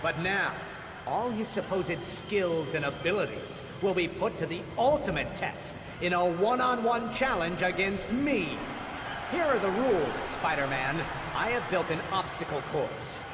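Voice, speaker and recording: A man's voice: high-pitched, expressive, measured pace, background noise. An actor playing a vain, bitter, theatrical male villain in a video game, with grandiose announcer-like delivery. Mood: showy, taunting.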